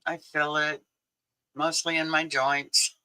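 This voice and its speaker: Man in his 60s, livestream host, unhurried, very bright tone, chatty with frequent laughs. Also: a little high, little pitch movement, smooth, low-quality recording. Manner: chatty, laughs often, answers viewers. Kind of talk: casual livestream chat.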